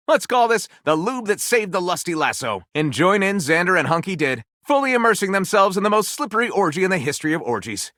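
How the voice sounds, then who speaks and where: Flamboyant, pompous storyteller with wild swoops. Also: male voice, high-pitched, sharp tone, dry recording, clean studio sound, exaggerated voice. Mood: delighted, grandiose. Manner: grandiose, melodramatic narrator delivery with gushing exclamations. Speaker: voice actor playing a game character. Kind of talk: theatrical storytelling, video game voice lines.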